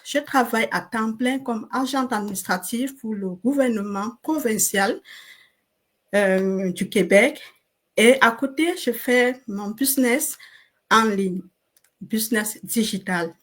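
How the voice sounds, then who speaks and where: A middle-aged woman from Cameroon hosts a live online interview. Her voice sits a little high, and she takes her time, pausing on fillers as she gathers each phrase. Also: fairly bright tone. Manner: slow and deliberate, with hesitant fillers. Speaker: host.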